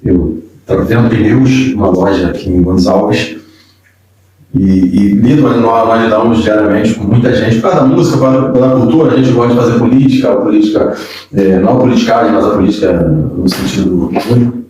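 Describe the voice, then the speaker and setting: A man's voice: fairly low pitch, normal speed, warm tone. A man with a Brazilian Portuguese accent hosting a podcast and chatting in an informal, storytelling way. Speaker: podcast host. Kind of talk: casual interview chat. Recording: echoey room, distant mic.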